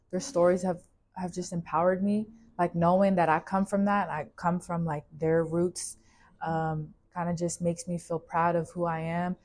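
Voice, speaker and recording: A young woman from California, telling her story in a casual interview with a relaxed, filler-heavy way of talking. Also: fairly low pitch. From California, USA. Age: young adult. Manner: relaxed, with many fillers like "like" and "you know".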